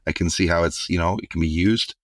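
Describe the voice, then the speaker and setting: A man co-hosting a podcast, a physician, hoarse from a virus and talking in an enthusiastic, joking way. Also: deep voice, fairly even intonation, fast talker, no echo, clean studio sound.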